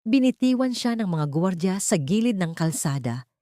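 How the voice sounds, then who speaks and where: A woman's voice: on the low side, very animated, normal speed, dark tone, dry recording, clean studio sound. A woman reading a scripted dramatic story as its narrator.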